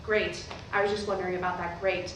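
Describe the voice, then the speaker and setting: A woman playing a brisk, opinionated middle-aged mother with a businesslike, pushy, chatty way of talking, in stage play dialogue. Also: lowish voice, flat delivery, a bit bright, lightly acted. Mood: brisk, concerned. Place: staged reading in a hall, recorded from afar. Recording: very echoey hall, distant, noisy.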